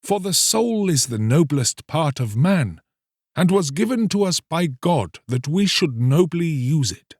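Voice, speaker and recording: A man's voice: on the high side, big pitch swings, unhurried, darkish tone, no echo, studio quality, pitched above the performer's own voice, exaggerated voice. A man voicing an alarmed, solemn priest in a storybook, exhorting in grave, fatherly, archaic speech. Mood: solemn, admonishing.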